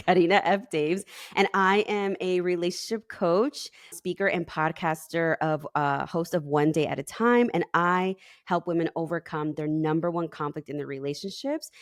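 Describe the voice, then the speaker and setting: A woman's voice: low voice, no echo, clean studio sound. A woman from Peru, a relationship coach, telling her story in a casual podcast chat and laughing often.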